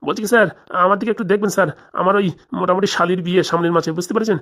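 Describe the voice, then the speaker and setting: An obsequious, wily cartoon schoolteacher, played by a man in a raised, high voice. He rattles off eager assurances very quickly. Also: fairly even intonation, a bit bright. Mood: eager, wheedling. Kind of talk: comedy cartoon dubbing.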